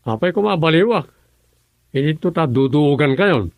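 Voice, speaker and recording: A man's voice: a little high, lively intonation, moderate pace, no room sound. A man playing a distraught Ilocano husband. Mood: earnest. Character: distraught husband searching for his wife. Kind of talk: Ilocano radio drama acting.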